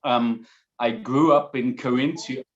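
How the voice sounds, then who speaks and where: A middle-aged man tells his story in careful, English, stopping often on "uh" and "um" and restarting sentences. His pitch sits in a middle range, with small dry jokes along the way. The sound is a little dull and muffled. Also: steady tone, echoey room. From Austria. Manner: halting, many fillers and restarts, dry humor. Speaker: guest, company founder. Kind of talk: casual interview answers.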